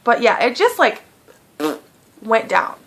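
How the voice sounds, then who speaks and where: A woman's voice: on the high side, takes it slowly. Chatty twenty-something woman vlogger. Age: 20s. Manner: chatty, lots of fillers, animated quoting. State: lingering cough, clearing throat. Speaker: beauty vlogger. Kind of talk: casual Q&A vlog.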